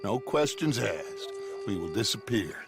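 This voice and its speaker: Gravelly showman outlaw boss, big swoopy delivery, game music playing under him. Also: fairly low pitch, very animated, a little slow, full character voice. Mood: urgent, persuasive. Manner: theatrical, persuasive speechmaking. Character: grandiose, commanding middle-aged male outlaw boss. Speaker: outlaw gang leader. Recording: game cutscene with music score. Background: orchestral game music.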